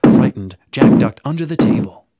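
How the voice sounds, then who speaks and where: A man narrating a scripted children's story in an expressive, dramatic way. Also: mid-range voice, moderate pace, very noisy. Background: music.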